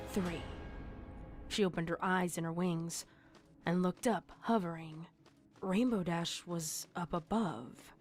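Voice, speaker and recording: Lowish female narrator reading a scary story, music playing under her. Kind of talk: scripted horror story narration.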